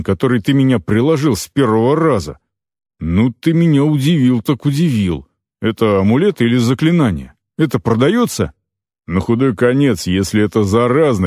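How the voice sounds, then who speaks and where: A man's voice: mid-range voice, darkish tone, no room sound, studio quality, natural voice. A man voicing a brash, eager orc killer-for-hire in a fantasy audiobook, blunt, chummy and bargaining.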